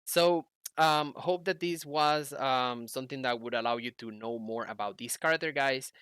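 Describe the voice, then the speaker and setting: A man's voice: mid-range voice, moderate pace, fairly bright tone, smooth, no echo, studio quality. A young man giving casual game commentary, explaining things and thinking aloud as he plays. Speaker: gaming YouTuber. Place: indoor room at a computer. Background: game music.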